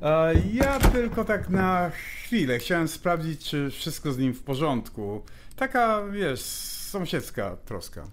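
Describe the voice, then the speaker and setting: A polite neighbour in a radio play. His intonation is lively and he talks colloquially, slipping in English words, full of curiosity. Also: fairly high pitch, takes it slowly, a little hiss or hum. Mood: hesitant, polite. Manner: hesitant, colloquial, mixing in English. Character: Piotr, polite, curious male neighbour dropping by. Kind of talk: audio drama dialogue.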